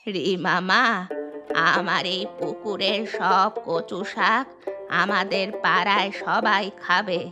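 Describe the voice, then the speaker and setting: A woman acts out an elderly village grandmother for a cartoon fable. She is gruff yet repentant and generous, with a slow, drawn-out delivery. Music is clearly heard behind the voice. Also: mid-range voice, steady tone, close to an everyday voice. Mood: generous, chiding. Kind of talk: Bengali moral-story cartoon dubbing. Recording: loud music under the voice.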